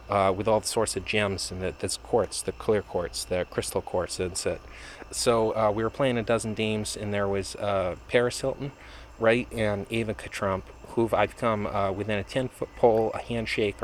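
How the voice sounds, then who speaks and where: A man vlogging in a meandering, halting monologue, often restarting and repeating words. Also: on the low side, a little hiss or hum. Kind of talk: rambling monologue to camera.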